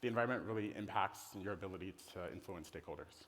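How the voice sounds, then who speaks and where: Low-voiced American man presenting in a hall. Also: fast talker, a bit bright. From Netherlands. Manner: casual, playful, conversational. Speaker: conference speaker, design director. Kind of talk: informal conference presentation. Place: conference stage in a hall. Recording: reverberant hall.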